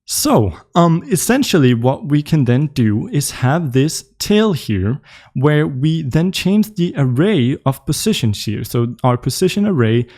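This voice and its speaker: A man's voice: a little high, big pitch swings, a little slow, a little mellow. A young man with a Danish accent hosting a live coding tutorial, explaining casually with frequent ums and uhs as he works. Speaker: tutorial host.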